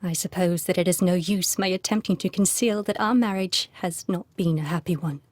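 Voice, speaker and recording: Actress as genteel, unhappy widow, dry sound. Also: female voice, fairly low pitch, moderate pace, darkish tone, dry recording, natural voice. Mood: formal, sorrowful. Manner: formal, refined, plaintive. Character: genteel, unhappy aristocratic Victorian wife, newly widowed. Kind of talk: video game cutscene acting.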